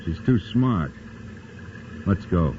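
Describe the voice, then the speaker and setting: An actor playing a gruff, cynical political organizer who talks bluntly and dismissively. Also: male voice, low voice, very animated, takes it slowly, dull audio, exaggerated voice. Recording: hissy old radio broadcast.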